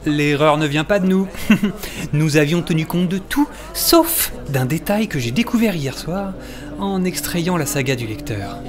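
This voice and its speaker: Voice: medium pitch, lively intonation, a little slow, natural voice. A performer playing an explaining team member. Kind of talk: amateur audio drama acting. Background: music.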